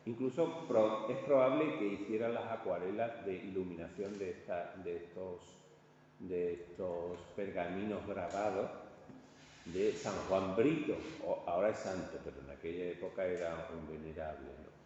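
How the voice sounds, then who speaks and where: Man, Spanish accent from Spain, informal lecturer, halting conversational delivery, heavy room echo, dull sound. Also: deep voice, fairly even intonation, darkish tone. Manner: halting, conversational, often checking with listeners. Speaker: art history lecturer. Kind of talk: informal illustrated lecture to an audience. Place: large reverberant indoor room. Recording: echoey hall, distant muffled sound, faint noise.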